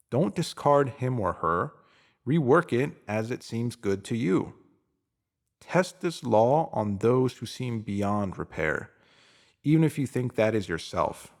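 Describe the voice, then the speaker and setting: A man reading a scripted motivational spiritual piece in a deliberate, emphatic manner, pausing to give phrases weight. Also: medium pitch, vocal fry, darkish tone. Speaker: narrator reading a spiritual self-help post.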